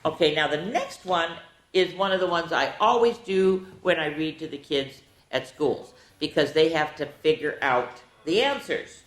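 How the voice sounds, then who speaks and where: A woman hosting a children's storytime, reading picture books aloud in a playful, theatrical way with casual asides and drawn-out words. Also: low voice. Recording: echoey, roomy sound.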